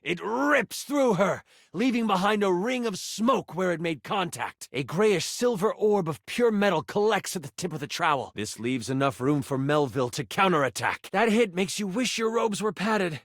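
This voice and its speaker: An actor playing a theatrical game master, narrating a fantasy battle with suspense for a video game. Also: male voice, high voice, expressive, normal speed, few pauses, fairly bright tone, no echo, studio quality, heavily acted. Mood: dramatic. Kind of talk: dramatic tabletop fantasy narration.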